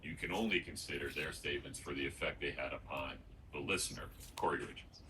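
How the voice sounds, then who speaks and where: A man speaking as a judge, giving formal, measured instructions to a jury in a courtroom. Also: rumbling low. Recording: noisy courtroom audio, distant mic.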